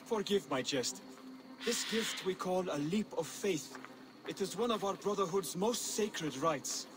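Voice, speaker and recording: An actor playing an earnest young assassin mentor in a video game, speaking in measured, formal, instructive phrases. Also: male voice, high voice, lively intonation, over music. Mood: calm, teaching. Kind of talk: video game cutscene acting.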